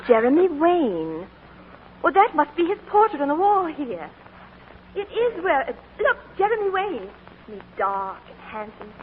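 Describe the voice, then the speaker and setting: High, lively young woman, old radio. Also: a little slow. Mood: curious. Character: spirited, curious young woman of twenty-three, teasing and affectionate. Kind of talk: 1940s radio drama acting. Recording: tinny, noisy 1940s radio broadcast.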